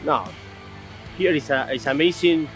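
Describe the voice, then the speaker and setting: Halting Argentine man, fairly high voice. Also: a bit bright. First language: Spanish. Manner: halting, non-native English. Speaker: polo player. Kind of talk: casual interview. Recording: music behind the voice.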